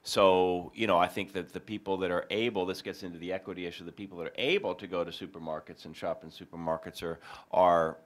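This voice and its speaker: A man with a fairly deep voice and a very even, flat intonation. He answers like an academic on a panel, matter-of-fact and hedging as he thinks aloud. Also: fast talker.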